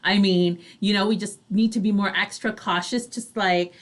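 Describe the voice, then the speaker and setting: A woman's voice: medium pitch, steady tone, moderate pace. A woman co-hosting a talk show, chatting casually and agreeing along. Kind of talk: casual supportive banter.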